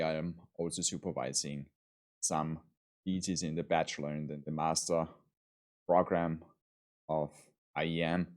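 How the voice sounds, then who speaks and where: Austrian man, very low voice, lecturer giving a measured, formal course introduction with frequent ums. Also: a little mellow. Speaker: university lecturer.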